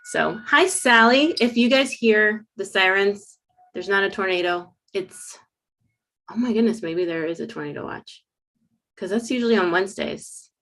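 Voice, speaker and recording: A woman's voice: medium pitch, normal speed, fairly bright tone. A woman in her thirties from Puerto Rico, a native Spanish speaker, chatting casually and ramblingly as she hosts a livestream into a desk microphone. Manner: chatty, rambling, self-correcting. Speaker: watercolor teacher hosting a livestream. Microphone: desk USB microphone.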